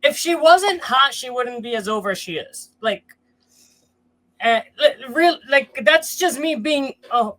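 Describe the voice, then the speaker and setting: A man's voice: very high-pitched, lively intonation, measured pace, bright, forceful tone, low-quality recording. A man with a nasal voice, a podcast panelist giving chatty, hedging analysis with many fillers. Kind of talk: casual panel chat.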